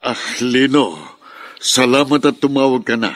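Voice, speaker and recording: An actor playing a patient, concerned brother in a Filipino radio drama. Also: male voice, mid-range voice, fairly even intonation, moderate pace, no room sound, lightly acted. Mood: worried pleading. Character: patient, concerned brother of a grieving older sister.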